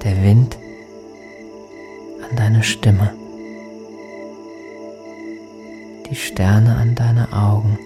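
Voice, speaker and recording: An actor playing a lonely, grieving man in a radio drama, speaking a hushed, wistful poetic monologue to his lost beloved. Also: mid-range voice. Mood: tender, longing.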